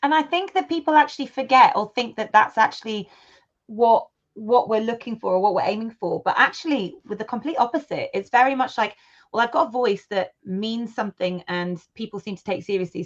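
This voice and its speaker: A woman's voice: mid-range voice, highs cut off. A woman from the United Kingdom, a studio co-founder, talking in an enthusiastic, chatty way as a guest in an interview. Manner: enthusiastic, chatty, with many fillers. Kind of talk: casual interview chat.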